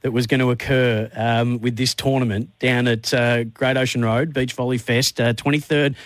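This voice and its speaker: A man's voice: mid-range voice, little pitch movement, normal speed, dry recording. A man hosting a radio interview, enthusiastic, asking long build-up questions with fillers. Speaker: radio host.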